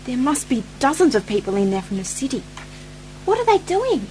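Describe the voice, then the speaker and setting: A woman's voice: high voice, sing-song, a little fast, mellow, slight background noise. A woman playing a worried, stubborn young woman in an amateur radio play, earnest and questioning. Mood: surprised, curious. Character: worried, stubborn young woman anxious to rescue her uncle.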